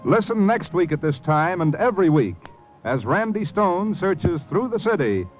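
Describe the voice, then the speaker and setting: A man's voice: high voice, sing-song, moderate pace. A man working as a 1950s radio announcer, reading scripted credits. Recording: muffled old 1950 radio broadcast with music.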